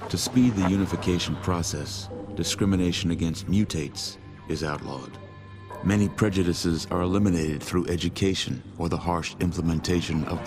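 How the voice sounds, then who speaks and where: A man playing a grave, solemn narrator of a post-apocalyptic game epic, speaking in a measured, portentous way. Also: sits low, lightly acted. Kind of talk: scripted cinematic game narration. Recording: music under the voice.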